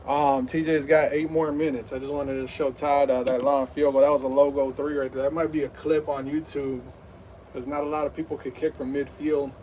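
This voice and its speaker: A man's voice: medium pitch, little pitch movement, fairly quick, darkish tone, far from the mic, slight background noise. A man in his thirties hosting a live game stream, rambling with lots of fillers and hyped play-by-play. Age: 30s.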